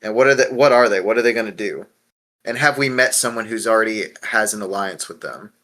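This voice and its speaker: Man hosting a podcast, bright forceful voice. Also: lowish voice, a little fast. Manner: profane, blunt, leads with questions. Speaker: podcast host. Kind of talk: casual podcast discussion.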